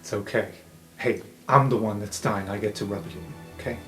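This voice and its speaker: A man's voice: fairly low pitch, fairly even intonation, brisk, a bit bright, faint music behind, pitched below the performer's own voice, natural voice. A man voicing a wry, ailing older female captain who talks bluntly and teasingly in a tabletop game. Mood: candid.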